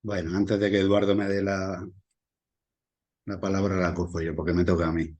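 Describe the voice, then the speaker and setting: A man with a Murcian accent speaking in a casual group discussion, halting, with many fillers and restarts. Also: low-pitched, level tone, darkish tone. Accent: Murcian Spanish. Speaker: study group participant.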